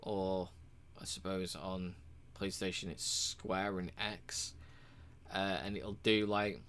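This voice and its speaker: A man casually walking through a game's achievements, relaxed and rambling, with frequent ums and uhs. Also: low-pitched, slow, clear, resonant tone. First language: English. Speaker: game reviewer.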